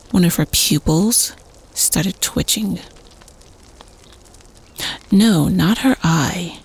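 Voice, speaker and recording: A woman playing a teenage prep-school student telling a spooky campfire story casually in an audio roleplay, conspiratorial and at times hushed. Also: on the low side, gravelly, slightly rough, full character voice.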